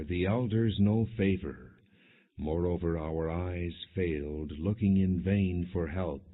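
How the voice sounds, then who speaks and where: A man narrating an audiobook, reading scripture in a solemn, measured and dramatic way. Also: deep voice. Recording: tinny phone-like narrow-band sound.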